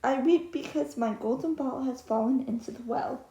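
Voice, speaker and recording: A woman's voice: sits high, moderate pace, mellow. A woman voicing a pampered young king's daughter who pleads eagerly. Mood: pleading. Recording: roomy echo.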